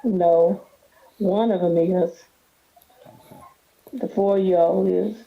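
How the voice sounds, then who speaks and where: A woman in her fifties calling in to a talk show, hesitant and soft-spoken, often asking to have questions repeated. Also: on the low side, steady tone, extremely slow, vocal fry. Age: 50s. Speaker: caller. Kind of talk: phone call to a talk show. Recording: dull phone line. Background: children.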